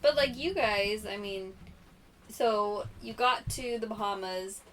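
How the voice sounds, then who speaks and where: A woman hosting a casual interview, reacting in an expressive, informal way. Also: mid-range voice, slow. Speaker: podcast host, interviewer. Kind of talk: casual chat. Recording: distant mic with faint background noise.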